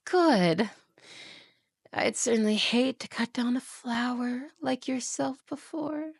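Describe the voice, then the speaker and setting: A woman's voice: fairly high pitch, takes it slowly, gravelly, slightly rough, dry recording, studio quality, full character voice. A woman playing a cruel, jealous evil queen and stepmother in a fantasy audio roleplay, with an imperious, menacing, theatrical delivery. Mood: cold menace.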